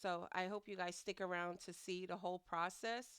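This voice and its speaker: A middle-aged woman talks through her kitchen prep in a loose, chatty ramble, often laughing at herself. Her voice sits a little low and comes out smooth despite a stuffy, nasal head cold. The sound is close and dry. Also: level tone, normal speed, a bit bright, no echo. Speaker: home cooking vlogger. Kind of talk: casual cooking narration. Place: home kitchen.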